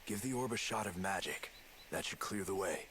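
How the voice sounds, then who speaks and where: An actor playing a guarded, plain-spoken spy in a video game, with a gruff, casual, clipped delivery. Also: male voice, sits low, normal speed, fairly bright tone, slight background noise. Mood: laconic, reflective.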